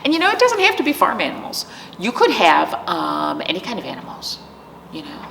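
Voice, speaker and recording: Animated woman hosting children's storytime. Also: medium pitch, fairly quick, gravelly, recorded from a distance. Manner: animated, coaxing, talks directly to young listeners. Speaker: library storytime host. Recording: echoey reverberant room, faint background noise.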